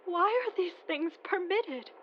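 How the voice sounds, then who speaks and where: An actress playing a distraught, fanatical woman preacher in an audio drama, lamenting in halting, repetitive sermon phrases. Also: very high-pitched, animated, lo-fi sound, slight background noise. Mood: anguished lament. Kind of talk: modern audio drama acting.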